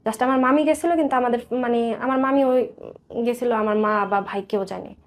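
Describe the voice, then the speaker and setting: A woman's voice: a little high, fairly quick. A woman with a Bangladeshi accent recounting her life in an interview, earnest and detailed, often circling back over points. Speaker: guest telling life story. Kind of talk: personal storytelling in a radio interview.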